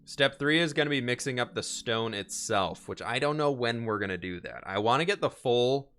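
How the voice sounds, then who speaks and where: A man streaming a building game, upbeat and chatty, his voice a little hoarse, answering questions from chat. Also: mid-range voice, expressive, normal speed, fairly bright tone, faint music behind. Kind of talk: casual live-stream chat while building.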